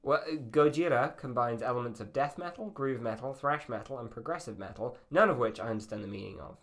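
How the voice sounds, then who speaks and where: A man's voice: medium pitch, brisk, a bit bright. Slightly feminine-sounding male podcast host. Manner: rambling, self-deprecating, joking. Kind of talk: casual chat.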